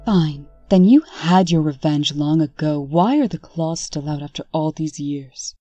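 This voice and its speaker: A woman voicing a reserved, authoritative fairy-tale princess in a comic dub, with measured, formal delivery. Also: low-pitched, mellow, no echo, dull audio, soft background music. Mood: hurt, defensive.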